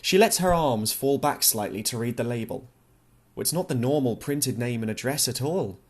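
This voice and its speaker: A man reads a literary novel as an audiobook narrator. His voice sits at an average pitch for a man. The delivery is that of scripted prose narration. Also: lively intonation, moderate pace.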